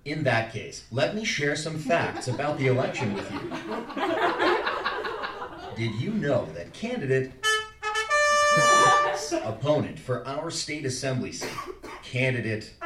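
Man playing a pushy robocall pollster, swooping delivery. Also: mid-range voice. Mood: mock-persuasive. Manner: formulaic prompts swinging into exaggerated salesmanship. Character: automated political phone-poll caller, increasingly pushy, male. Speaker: actor in a live interactive radio play. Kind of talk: scripted robocall performed live on stage. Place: indoor theater stage, live performance. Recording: roomy, distant, noisy live theater recording.

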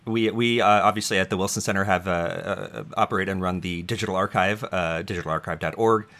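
A man's voice: low voice, fairly even intonation, a little fast, a bit bright. A man interviewing an archive director, speaking with many fillers and restarts. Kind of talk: interview conversation.

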